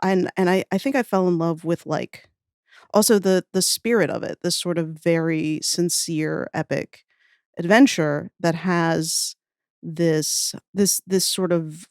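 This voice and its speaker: Enthusiastic, low-voiced woman guest, dry sound. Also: fairly even intonation, a little slow, darkish tone, no echo. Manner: enthusiastic, rambling, self-deprecating. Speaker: podcast guest, audio fiction writer. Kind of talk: casual chat, reminiscing.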